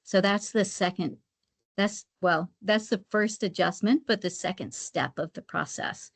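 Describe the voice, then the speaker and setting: A woman's voice: medium pitch, a little slow, gravelly. A woman giving an explanatory webinar presentation step by step, sometimes repeating her phrases as she goes. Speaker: guest presenter, credit rating specialist.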